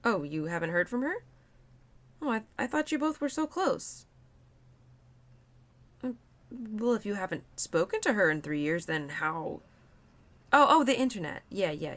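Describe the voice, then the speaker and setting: A woman voicing a young female spirit of autumn in an intimate audio roleplay, caring and expressive, playful and easily excited. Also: a little high, full character voice. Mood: curious, puzzled. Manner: expressive, bubbly, giggly, naive about humans.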